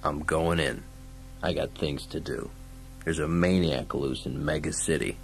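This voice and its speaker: A man voicing a stern, weary lawman in an audiobook, curt, gruff and deadpan, hard-boiled and unbending. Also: extremely low pitch, unhurried, a little hiss or hum, pitched below the performer's own voice, rough recording. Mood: grim. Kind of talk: dramatised audiobook science-fiction dialogue.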